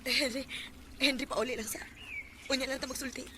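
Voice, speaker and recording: A woman's voice: high voice, fairly even intonation, a little fast, close to an everyday voice. A woman with a Filipino Cebuano accent acting an emotional married woman with a secret romance in a radio drama. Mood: nervous. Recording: noisy radio drama with ambience.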